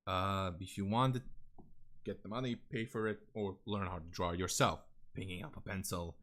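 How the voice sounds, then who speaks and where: A man's voice: low voice, fairly even intonation, moderate pace, a bit bright. A young man from Serbia with a Serbian accent, chatting casually and bluntly on a stream, swearing freely with lots of fillers. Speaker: vtuber streamer. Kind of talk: casual rambling stream chat.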